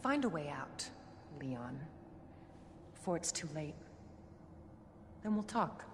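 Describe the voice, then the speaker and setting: A woman's voice: low voice, sing-song, slow, noisy background, full character voice. An actress playing a cool, guarded female federal agent in a video game, curt and commanding. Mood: cool, dismissive. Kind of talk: dramatic video game acting. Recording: long reverb, music behind. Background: music.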